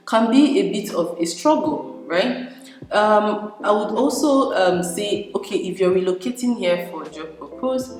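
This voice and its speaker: A young Nigerian woman, a native Igbo speaker, talks through her vlog in a relaxed, chatty way, breaking into laughs and restarting her sentences. Her voice sits low for a woman. The room around her is very echoey, with a long trailing reverb and faint music underneath. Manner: chatty, laughs often, frequent fillers and restarts. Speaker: vlogger. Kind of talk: casual vlog talk to camera.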